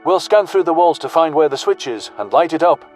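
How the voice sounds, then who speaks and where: A man's voice: a little high, expressive, a little fast, darkish tone, soft background music, close to an everyday voice. A man voicing a blunt, confident, commanding human soldier in an audio story. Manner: blunt military slang. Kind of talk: audio story character dialogue.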